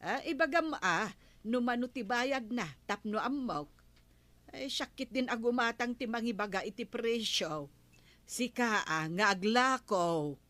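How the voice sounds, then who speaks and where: A chatty village neighbour who likes to bargain. She speaks slowly in a dry recording, in the style of Filipino radio comedy drama. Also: a little high, expressive, a bit bright, dry recording. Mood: friendly, haggling.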